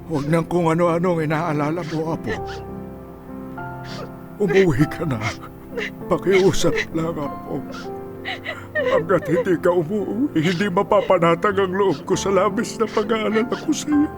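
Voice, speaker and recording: A man playing a gentle, worried elderly grandfather in a radio drama, speaking in a soft, pleading, sorrowful way. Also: sits high, lively intonation, a little slow, long pauses. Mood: tearful, pleading. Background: clearly audible music.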